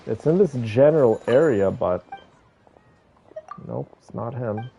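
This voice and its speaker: A man's voice: mid-range voice, expressive, unhurried, gravelly, warm tone, muffled recording, a little hiss or hum. A man giving casual gameplay commentary, thinking aloud and talking to his viewers. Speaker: game streamer playing and commenting.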